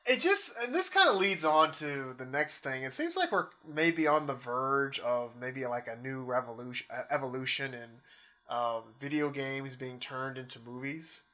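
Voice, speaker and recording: A man's voice: medium pitch, lively intonation, normal speed, pushed and bright. A man co-hosting a podcast in casual chat, giving his opinions with enthusiasm. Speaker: podcast co-host. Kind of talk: casual chat about game movies.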